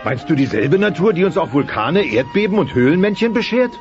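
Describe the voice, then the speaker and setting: A man's voice: on the high side, very animated, a little fast. A voice actor playing a mighty, pompous dinosaur father, blustering and sentimental, in a German dubbed family sitcom audio play. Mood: protective, gentle. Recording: dull cassette transfer with music.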